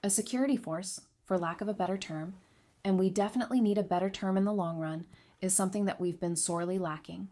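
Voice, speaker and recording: Woman acting a perceptive advisor lady, audio drama, frank and thoughtful, medium pitch. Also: quick pace, dark tone, lightly acted. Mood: frank, considered. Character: perceptive, candid woman advisor who reads people. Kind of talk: fan audio drama dialogue.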